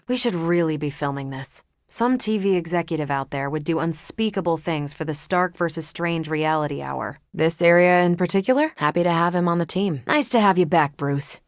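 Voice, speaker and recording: A woman's voice: on the low side, no echo, clean studio sound, lightly acted. A woman with an American accent playing a confident, wry, upbeat superheroine in a video game, with breezy, teasing, casual delivery. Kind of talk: video game voice acting, short one-off lines.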